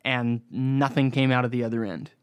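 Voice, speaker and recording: A man's voice: mid-range voice, steady tone, moderate pace, clean tone. A young American man hosting a podcast, giving a casual, rambling, self-deprecating solo review in informal language. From United States. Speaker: podcast host.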